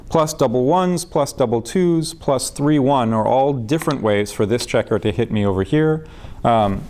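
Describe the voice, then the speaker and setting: A man's voice: medium pitch, darkish tone. Methodical man explaining a game position. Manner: methodical, patient, with fillers. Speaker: backgammon teacher.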